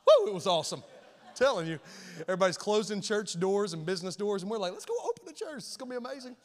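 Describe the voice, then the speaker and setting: Folksy Alabama-accented pastor in a big hall. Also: male voice, a little high. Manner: folksy, animated, repeats words, rallies the crowd. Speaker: guest pastor. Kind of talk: sermon opening, casual and energetic. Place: large church auditorium. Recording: long reverb of a large hall.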